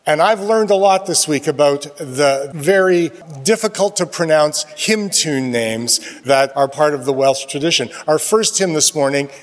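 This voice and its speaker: A man's voice: fairly high pitch, normal speed. Chatty man speaking in a reverberant church. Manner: chatty and good-humored, laughs at himself. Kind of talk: casual announcements during a church service. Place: church sanctuary.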